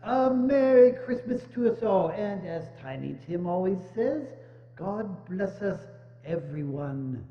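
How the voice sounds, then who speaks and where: A man playing a warm, humble father of a poor family, earnest and hearty, in a dramatic reading. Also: high-pitched, animated, takes it slowly, gravelly, heavily acted. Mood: joyful. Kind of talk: dramatic reading of a stage adaptation. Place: indoors, church. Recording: echoey church hall, dull sound, faint hum.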